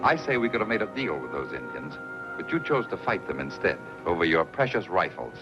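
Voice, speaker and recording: An accusing wagon-train traveler in a radio western. His voice is low and animated. Music plays behind the dull old recording. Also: fairly quick.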